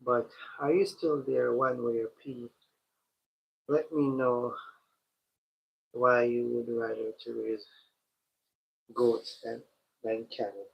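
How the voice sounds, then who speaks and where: Stuffy guy hosting a livestream, slow and draggy, muffled lo-fi sound. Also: mid-range voice, flat delivery, gravelly, slight background noise. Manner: slow, repetitive, addresses the chat directly. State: stuffy, congested from a cold. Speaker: livestream host. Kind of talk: casual live-stream chat with viewers. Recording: dull lo-fi stream audio.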